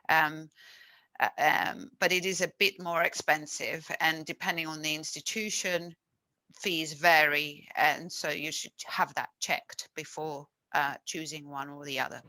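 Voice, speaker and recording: A woman's voice: low voice, bright, forceful tone, no echo. A woman panelist explaining a legal matter on a webinar over a video call, with frequent fillers.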